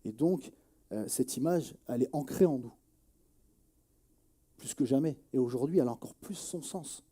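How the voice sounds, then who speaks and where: Male, around 40, very dark soft-edged tone, average-low register; earnest interviewee who gropes for words. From Nièvre, France. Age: about 40. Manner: halting, searching for words, repeating phrases. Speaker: journalist and activist interviewee. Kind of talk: earnest spoken testimony in an interview.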